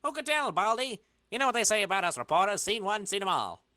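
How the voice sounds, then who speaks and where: A man's voice: sits high, sing-song, quick pace, pushed and bright, dry recording, voice pushed up, exaggerated voice. A man voicing a brash, flippant newspaper reporter who teases and mocks, in a comic-book reading. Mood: mocking. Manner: flippant, teasing.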